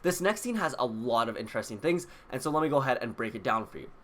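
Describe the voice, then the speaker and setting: A man's voice: mid-range voice, lively intonation, fast. A man hosting a video, explaining details to viewers in a casual, chatty way. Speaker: video host. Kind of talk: casual scripted video commentary.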